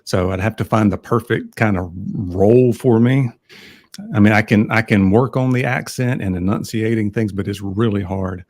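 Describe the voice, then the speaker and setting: A man with a Southern American drawl, talking in an easy, calm and soothing way. His voice sits a little low, and his delivery is unhurried and relaxed, like casual livestream chat. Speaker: guest on a livestream.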